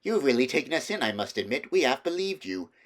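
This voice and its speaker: A man's voice: medium pitch, big pitch swings, fast, voice pushed up, lightly acted. A man voicing a confident schoolboy elder brother in a children's storybook, hearty, reasoning and kindly patronizing.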